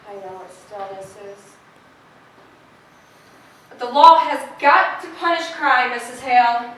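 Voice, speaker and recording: Woman acting a timid, slow-spoken sheriff's wife onstage. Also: a little high, animated, a bit bright. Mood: sombre, haunted. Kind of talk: amateur stage play acting. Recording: echoey stage, distant mic, faint noise.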